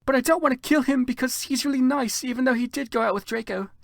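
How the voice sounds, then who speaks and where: A man voicing a whiny, melodramatic teenage goth vampire girl in a shrill, theatrical way. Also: fast talker, vocal fry, dry recording, studio quality. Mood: tearful angry.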